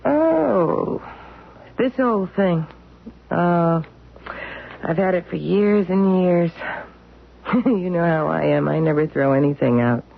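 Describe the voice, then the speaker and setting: Breezy evasive wife, drawn out and lilting, old tinny radio play sound. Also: female voice, on the low side, lively intonation, vocal fry, heavily acted. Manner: slow, light, laughing off questions. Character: calm, evasive wife, oddly serene. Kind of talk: 1970s radio drama acting. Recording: muffled lo-fi old radio recording.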